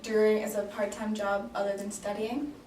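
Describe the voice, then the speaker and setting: A woman's voice: medium pitch, completely flat delivery, moderate pace, darkish tone. Hesitant young woman in an echoey room. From Denmark. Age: 20s. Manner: hesitant, many ums and restarts. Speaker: job applicant in a mock interview. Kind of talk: casual improvised role-play interview. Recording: echoey room, mic at a distance, faint noise.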